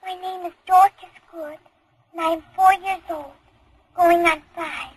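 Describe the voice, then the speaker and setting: A woman's voice: very high-pitched, fairly even intonation, unhurried. An actress playing an innocent, bewildered four-year-old girl in an old radio drama, speaking in a small, plaintive voice. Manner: small, plaintive, childlike recitation. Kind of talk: 1940s radio acting. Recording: very noisy old radio recording.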